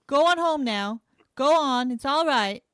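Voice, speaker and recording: A woman playing a kind, earnest and quietly defiant frontier schoolteacher in an amateur radio play, explaining things in a measured way. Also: high-pitched, animated, no echo, studio quality. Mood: firm.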